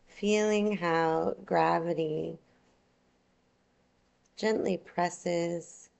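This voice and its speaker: A woman teaching yoga nidra, guiding a relaxation meditation in a soothing, unhurried, gentle way. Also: fairly low pitch, creaky.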